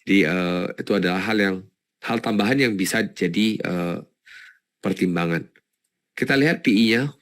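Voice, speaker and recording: A man's voice: low-pitched, normal speed, pauses often, a bit bright. A man presenting a stock analysis webinar in an informal, explanatory way, thinking aloud and repeating words as he goes. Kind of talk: informal live webinar commentary.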